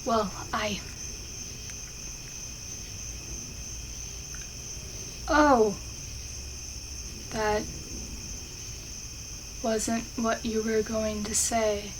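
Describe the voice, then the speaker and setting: Flustered, affectionate best friend, female voice actor, ASMR romance roleplay: highish pitch, slow halting delivery, noticeable cricket-filled night background. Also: flat delivery, rough recording. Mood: tender, nervous. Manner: halting, bashful, stammering. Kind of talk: intimate ASMR roleplay acting. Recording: clear insect and outdoor ambience noise. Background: crickets and night ambience.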